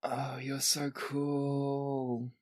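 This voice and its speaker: A man's voice: mid-range voice, monotone, drawn-out words, voice pushed up. A man voicing a drugged, childlike wolf man patient in a tabletop game, adoring and sleepy, trailing off in simple phrases. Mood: dopey awe.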